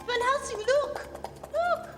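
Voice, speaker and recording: A woman's voice: very high-pitched, slow, over music. A woman playing a haunted, entranced young lady in a gothic radio drama, intense and theatrical. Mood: alarmed. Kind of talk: live radio drama acting. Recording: echoey stage hall, clear background noise.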